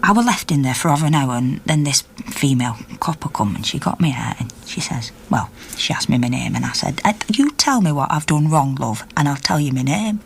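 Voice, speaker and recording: A feisty middle-aged miner's wife with a Yorkshire accent and a lowish voice. She tells her story indignantly and conversationally, mimicking each exchange as in a radio drama, over clearly audible background noise. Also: a little fast, hardly any pauses. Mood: aggrieved. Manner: animated, conversational storytelling, acting out dialogue. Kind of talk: naturalistic radio drama monologue.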